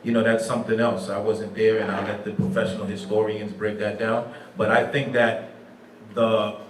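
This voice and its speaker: A man's voice: fairly low pitch, flat delivery, moderate pace. A man, a teacher and nonprofit program director, answering panel questions in a thoughtful way and slipping in Creole and French phrases. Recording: echoey room from far off, faint background noise.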